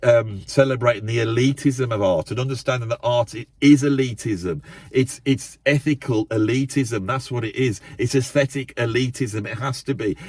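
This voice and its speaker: A man ranting into a camera in his parked car, loud and emphatic, repeating himself, his nose a little blocked up. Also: medium pitch, no room sound. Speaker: YouTube channel host. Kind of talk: impassioned monologue to camera. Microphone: camera set up in the car.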